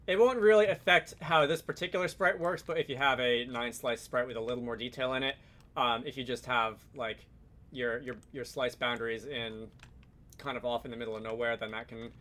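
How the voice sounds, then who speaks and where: A man's voice: fairly high pitch, pushed and bright. A man hosting a casual game development tutorial, explaining off the cuff, hesitating and correcting himself as he thinks aloud. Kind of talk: casual screen-recorded software tutorial, improvised explanation.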